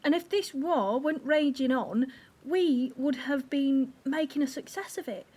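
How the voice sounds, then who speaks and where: A woman playing a devoted, reassuring 1940s wife in an audio drama, soothing and gently teasing. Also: high voice, unhurried. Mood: earnest encouragement. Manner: soothing, encouraging.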